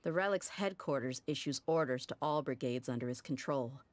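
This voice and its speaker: An actress playing a tough, blunt mercenary leader in a game cutscene, frank and guarded with casual slang. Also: female voice, very deep voice, brisk, dry recording.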